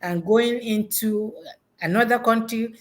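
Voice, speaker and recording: A woman's voice: on the high side, unhurried. Reflective woman chatting in an interview. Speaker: education consultant guest. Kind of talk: unscripted conversational interview answers.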